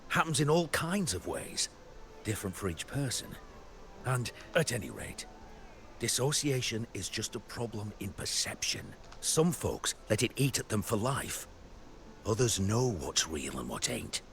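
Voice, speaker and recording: A man playing a weathered, skeptical camp veteran in a video game, with a gravelly voice and folksy, clipped phrasing. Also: fairly low pitch, very animated, unhurried, a bit bright, slight background noise, exaggerated voice. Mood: skeptical, advising. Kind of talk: scripted video game dialogue.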